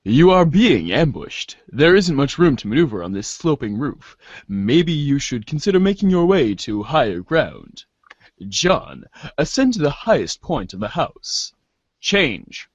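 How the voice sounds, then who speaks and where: Casting: male amateur narrator, mock-grave and commanding, unhurried with big pitch swings, slightly muffled recording. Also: sing-song, dry recording. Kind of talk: dramatic amateur reading of webcomic narration.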